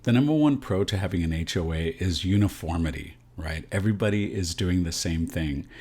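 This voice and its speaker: American male realtor host, very low voice, explanatory direct-to-camera advice delivery with frequent check-ins. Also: lively intonation, brisk, darkish tone, husky. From San Antonio, Texas, USA. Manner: explanatory, often checks in with "right?".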